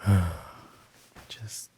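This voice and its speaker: A man playing a weary, grief-haunted mafia boss in roleplay ASMR, breathy and sighing, often slipping into a whisper. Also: very slow, noisy background. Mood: weary, self-soothing.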